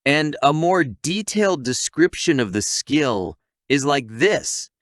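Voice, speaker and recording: A man's voice: fairly high pitch, very animated, takes it slowly, dry recording, clean studio sound. A man narrating a humorous scripted story recap in a playful, theatrical way, addressing listeners directly.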